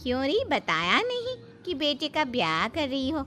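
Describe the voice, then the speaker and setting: A woman's voice: high voice, huge pitch swings, normal speed, pushed and bright, exaggerated voice. A woman voicing a gossipy, meddling older neighbour in a cartoon family drama, scolding and insinuating. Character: gossipy meddling older female neighbour friend, stirring trouble.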